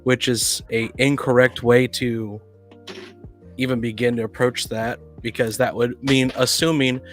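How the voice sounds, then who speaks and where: A man's voice: medium pitch, little pitch movement, a little slow, faint music behind. A man speaking as a podcast guest in a casual panel discussion, often thinking aloud.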